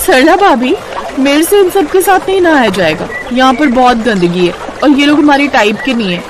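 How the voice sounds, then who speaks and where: Lady doing a stuck-up rich wife for a moral cartoon, high voice, showing off, noisy background. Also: sing-song, normal speed. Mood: disdainful. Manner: snobbish, mocking, showy. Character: haughty, boastful rich young married woman. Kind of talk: Hindi moral cartoon story acting.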